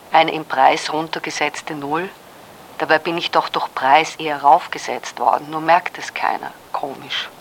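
A woman's voice: deep voice, fairly bright tone, slight background noise, rough recording. A woman acting a wry messenger in a radio play, musing in a dry, pedantic, digressive way. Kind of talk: literary radio play monologue.

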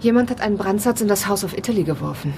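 A woman's voice: medium pitch, lively intonation, rapid-fire, darkish tone, a little hiss or hum, natural voice. A woman playing a serious, suspicious woman in a crime radio play, speaking urgently and directly. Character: Julia, serious woman confronting a man with suspicion.

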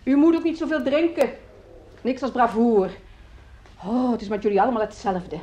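A woman's voice: on the high side, moderate pace, dark tone, lightly acted. A woman playing a fussy, solicitous landlady of students in a radio drama, nagging and repeating her words, on an old recording. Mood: scolding, exasperated.

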